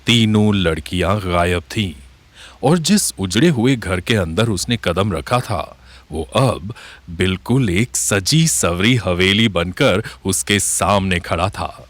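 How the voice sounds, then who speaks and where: A man's voice: deep voice, expressive, slow pace, dry recording. A man narrating a scripted horror story.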